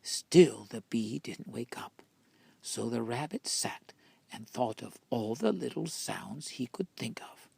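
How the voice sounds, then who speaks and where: A man reading a picture book expressively to children, breathy and often near a whisper, with drawn-out, dramatic delivery. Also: mid-range voice, lively intonation, dark tone, no echo. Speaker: children's story reader.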